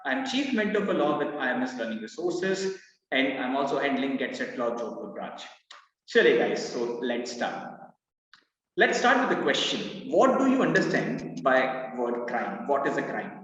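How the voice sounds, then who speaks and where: Loud Indian man lecturing, echoey. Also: mid-range voice, moderate pace. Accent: Indian English. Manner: lively, mixes in Hindi phrases, reads out student answers. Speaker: law entrance mentor. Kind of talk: live online class. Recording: echoey, roomy, distant, dull live-class audio.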